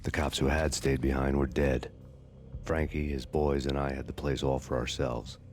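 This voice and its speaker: An actor playing a weary, bitter cop in a video game, narrating in a sardonic, brooding, hardboiled noir style. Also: male voice, rumbling low, moderate pace, noisy background. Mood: brooding, deadpan. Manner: deadpan, brooding. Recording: loud music underneath.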